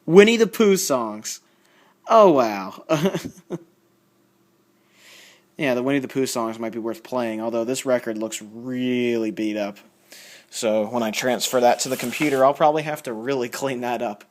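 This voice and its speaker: A man's voice: mid-range voice, measured pace. Rambling man in casual show-and-tell. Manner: rambling, thinking aloud, reading labels out. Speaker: record collector showing a haul.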